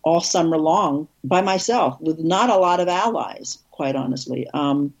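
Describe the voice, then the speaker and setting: A woman film director, an interview guest, telling animated stories in casual conversation with frequent restarts. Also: measured pace, highs cut off. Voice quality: husky, low.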